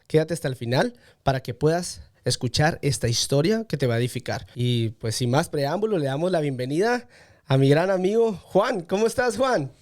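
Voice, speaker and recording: A man's voice: fairly high pitch, animated, moderate pace, darkish tone. A man hosting a podcast, interviewing a guest in a conversational style. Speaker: podcast host.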